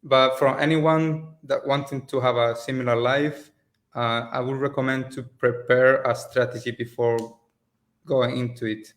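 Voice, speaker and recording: A man from Spain, a native Spanish speaker in his late twenties, talking relaxed and casual as a guest on a video call, with loose phrasing and restarts. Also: medium pitch, measured pace. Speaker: agency owner, guest. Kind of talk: casual interview over a call. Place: rented office in Mexico.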